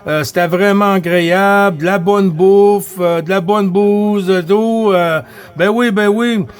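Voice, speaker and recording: A man with a Québécois accent running a CB radio net in an unhurried, chatty way, with frequent hesitations and tag words. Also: high-pitched, steady tone, clear, resonant tone. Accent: Québécois French. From Quebec.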